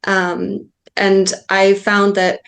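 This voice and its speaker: A woman's voice: medium pitch, monotone, drawn-out words, gravelly, a bit bright. A young woman from Wales, giving a conference talk in an earnest, informal way with frequent fillers. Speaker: conference speaker.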